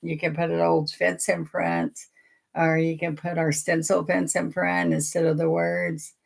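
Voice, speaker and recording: A woman's voice: deep voice, little pitch movement, unhurried. An older woman, chatting folksily as she teaches a painting lesson and greets viewers by name. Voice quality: raspy. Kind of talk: casual livestream painting lesson.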